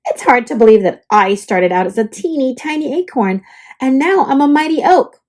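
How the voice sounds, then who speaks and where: A woman's voice: high-pitched, lively intonation, moderate pace, a little mellow. A woman voicing a perky, dramatic young oak tree telling its storybook diary, with theatrical exclamations. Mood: proud, delighted. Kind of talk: storybook diary read-aloud.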